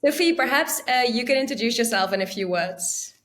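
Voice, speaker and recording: A woman hosting a debate podcast, clear and organized, reading out prepared background before each statement. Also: fairly high pitch, animated, brisk, a bit bright. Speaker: podcast host.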